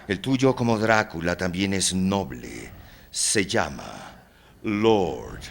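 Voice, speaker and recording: An actor playing a fatalistic Victorian novelist in a theatrical drama, solemn and declamatory, with darkly taunting, grandiloquent speech. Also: male voice, sits low, animated, slow pace, vocal fry, heavily acted. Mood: bitter, forceful. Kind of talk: theatrical stage-drama dialogue.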